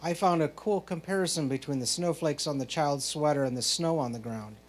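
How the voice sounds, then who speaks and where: A man working as a photo competition judge, reading out prepared critiques in a measured, explanatory way. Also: on the high side. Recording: clear background noise, hum.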